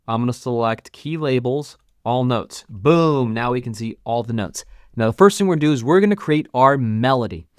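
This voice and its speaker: A man's voice: medium pitch, lively intonation, normal speed, clean tone, no room sound. Man giving a dry, close-miked tutorial. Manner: casual, instructional, talking through each click. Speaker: music teacher and channel host. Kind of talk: step-by-step software tutorial narration.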